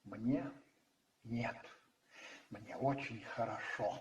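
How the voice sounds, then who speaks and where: A man's voice: fairly low pitch, drawn-out words, slight background noise. A male narrator voicing a troubled, brooding husband in a radio play, dramatic and rueful. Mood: curt, defensive. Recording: roomy echo, distant, faint music behind.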